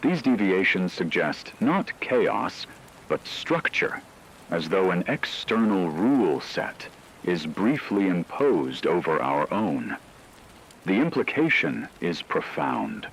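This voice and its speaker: A man playing a grave radio news anchor, delivering a scripted report in a solemn, formal, measured way. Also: sits low, sing-song, gravelly, fairly bright tone, exaggerated voice. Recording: noisy, rain-like background ambience.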